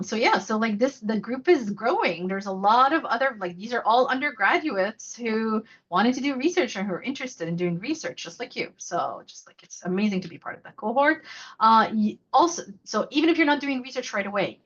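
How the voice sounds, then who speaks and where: Female presenter, mid pitch, chatty and informal, somewhat dull video call sound. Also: fairly bright tone, husky. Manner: chatty, loose with many fillers and restarts. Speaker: faculty mentor and local coordinator. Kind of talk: presenting a virtual workshop.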